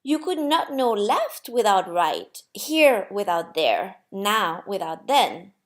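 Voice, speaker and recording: A woman playing a gentle, wise, amused God in a storybook, explaining patiently and reassuringly. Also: medium pitch, big pitch swings, slow. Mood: solemn, gentle. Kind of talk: storybook character voice.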